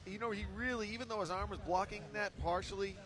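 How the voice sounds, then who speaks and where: A man's voice: high voice, steady tone, quick pace. A man doing live ringside commentary on a kickboxing bout, exclaiming at the big hits. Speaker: fight commentator.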